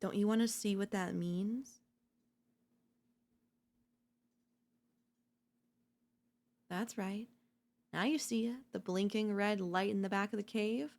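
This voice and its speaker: A woman playing an obsessive, domineering yandere in a dark ASMR roleplay, seductive and smug, slow and teasing, slipping into whispers. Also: mid-range voice, fairly even intonation, creaky, close to an everyday voice. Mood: gloating, excited. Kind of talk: dark ASMR audio roleplay, intimate dramatic acting.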